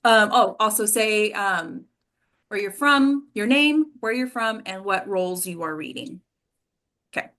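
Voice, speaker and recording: A woman's voice: mid-range voice, lively intonation, a little slow, fairly bright tone. A woman casually hosting an online group of actors as their coach, informal and encouraging as she guides them over a video call. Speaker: host and acting coach. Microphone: computer mic on a video call.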